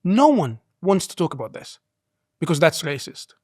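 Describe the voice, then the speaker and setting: A man's voice: fairly high pitch, big pitch swings, moderate pace, no room sound, faint music behind. Egyptian man with a dry, close studio sound. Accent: mixed Egyptian and American-schooled English. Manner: earnest, many "you know" fillers, quiet trailing asides. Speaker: guest. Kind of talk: conversational interview.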